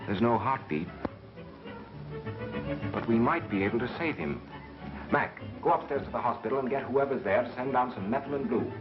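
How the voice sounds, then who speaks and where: An actor playing a cool-headed, commanding man in 1930s film style, brisk and matter-of-fact. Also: mid-range voice, animated, natural voice. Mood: brisk, grave. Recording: muffled 1930s film soundtrack with music.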